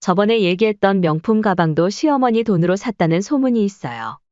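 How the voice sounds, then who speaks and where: A woman plays a gossiping young neighbourhood mother in an audio story. Her voice sits at a medium pitch. The sound is dry and slightly dull. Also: expressive, fairly quick, a little mellow, no echo, natural voice. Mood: gossipy. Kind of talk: audio drama story acting.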